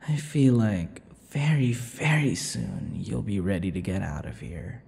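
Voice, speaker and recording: A man playing a possessive vampire lover in an intimate ASMR roleplay, teasing and menacing, murmuring slowly with drawn-out words. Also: a little mellow. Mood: doting, casual. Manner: slow, breathy murmurs, drawn-out words, low chuckles. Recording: long reverb, faint background noise.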